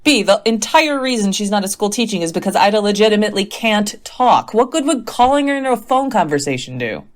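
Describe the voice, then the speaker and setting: A woman's voice: mid-range voice, lively intonation, brisk, lightly acted. A woman playing a tired, sensible teacher in a comic phone-call sketch, dry and exasperated.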